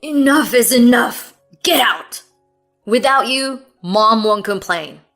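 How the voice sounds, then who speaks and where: A woman's voice: fairly high pitch, animated, slow pace, vocal fry, fairly bright tone, soft background music, heavily acted. A woman voicing a domineering, barking husband. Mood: yelling. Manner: barking orders, harsh. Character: irritated, domineering grown man, a husband.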